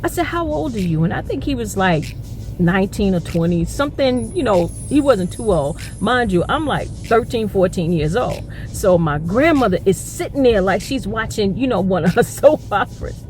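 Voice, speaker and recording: A woman vlogger telling a personal story in an animated, casual way, acting out what each person said, recorded on a phone camera. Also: medium pitch, moderate pace, a little hiss or hum. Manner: acts out each person's lines. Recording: music under phone camera voice. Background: upbeat background music.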